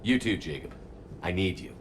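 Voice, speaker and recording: A man playing a decisive, steady military commander in a sci-fi video game, curt and businesslike. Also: sits low, moderate pace, background noise. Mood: firm.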